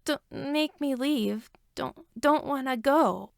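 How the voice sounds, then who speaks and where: A woman voicing a frightened little foster boy who pleads in a halting stammer, in a story reading. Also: high voice, gravelly, no room sound, studio quality, voice pushed up, full character voice. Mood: tearful pleading.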